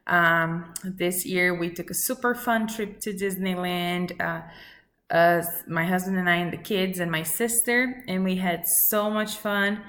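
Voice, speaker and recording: A woman in her thirties from Brazil, speaking English. She introduces herself to a class slowly and earnestly, with many pauses and fillers. Her voice sits a little low but sounds bright and forward. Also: fairly even intonation. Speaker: student. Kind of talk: recorded self-introduction for a class.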